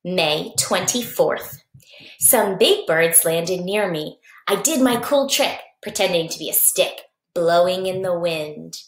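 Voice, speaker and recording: A woman's voice: on the low side, lively intonation, slow pace. A woman voicing a small, cocky young male praying mantis reading out his diary in playful, boastful short sentences, storybook style. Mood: matter-of-fact, pleased. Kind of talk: expressive children's storybook reading. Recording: echoey basement room.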